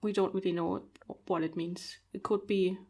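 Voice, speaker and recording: A woman's voice: lowish voice, steady tone, moderate pace, darkish tone, slightly rough. A woman, not a native speaker of English, chatting on a video call in a thoughtful, hesitant way with many fillers. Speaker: podcast host. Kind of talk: casual conversation.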